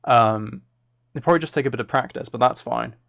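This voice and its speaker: A man's voice: mid-range voice, fairly quick, creaky, fairly bright tone, no echo. A man chatting casually as he works on music on a live stream, musing aloud in a self-deprecating way. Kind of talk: casual chat while working.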